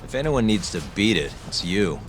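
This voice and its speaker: A self-assured investigator hero in a video game cutscene, casual and good-natured with a cocky edge. His lowish voice moves animatedly in pitch, with music behind it. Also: very animated, normal speed. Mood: cool challenge. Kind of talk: video game drama acting.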